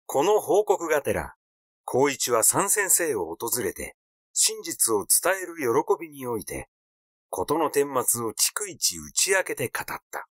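Expressive male story reader, dry close sound. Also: mid-range voice, measured pace, no echo. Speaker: audiobook reader. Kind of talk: scripted literary narration, reading a story aloud.

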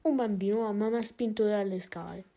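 A woman's voice: medium pitch, fairly quick, warm tone, natural voice. A woman voicing a cheeky, complaining child in a casual toy-game roleplay. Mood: whiny, cheeky.

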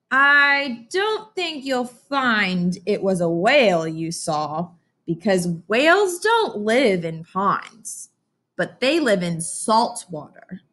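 A polite male schoolteacher from a storybook, read by a woman. The delivery is measured and deliberate, patiently correcting, with lively pitch movement. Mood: patient, firm. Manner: measured, deliberate, formal letter reading.

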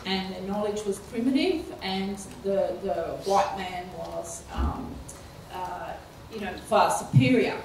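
A middle-aged woman speaking on a conference panel, halting with many fillers and restarts. She speaks into a handheld mic. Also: medium pitch, creaky, background noise. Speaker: keynote speaker. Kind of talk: panel discussion. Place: lecture hall, indoors. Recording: echoey hall from a distance.

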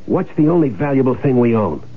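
An actor playing a scheming, hard-bitten crook in a 1970s radio drama, with slangy, pushy, cynical delivery. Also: male voice, medium pitch, big pitch swings, a little fast, noisy background. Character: scheming, hard-bitten crook faking his own death. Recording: thin phone-like 1970s radio recording.

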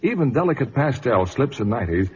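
Emphatic, husky radio pitchman. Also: very animated, a little fast, a little mellow. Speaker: commercial announcer. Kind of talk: 1950s radio advertisement. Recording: muffled vintage radio broadcast.

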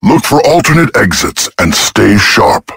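An actor voicing a brisk, businesslike robot officer in a video game, giving matter-of-fact mission briefings. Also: male voice, lowish voice, big pitch swings, vocal fry, a bit bright, breathy edge, no echo, exaggerated voice. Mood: serious, briefing.

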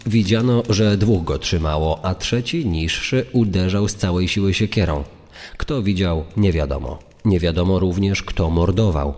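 A man's voice: low-pitched, normal speed, darkish tone. A man giving a scripted, dramatic reading as narrator of a true-crime story. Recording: long reverb over music.

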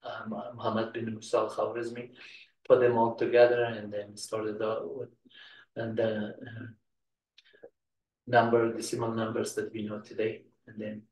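A middle-aged man from Afghanistan, a native Persian speaker with an Afghan accent, giving an informal, halting and meandering tutorial talk. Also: fairly low pitch, monotone, a little mellow, slight background noise. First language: Persian (Farsi). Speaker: computer science instructor. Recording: roomy echo, distant microphone.

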